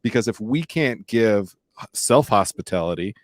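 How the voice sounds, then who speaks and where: A man's voice: lowish voice, expressive, moderate pace, dry recording. A man hosting a podcast interview, talking casually with energy and a shifting pace. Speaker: podcast host.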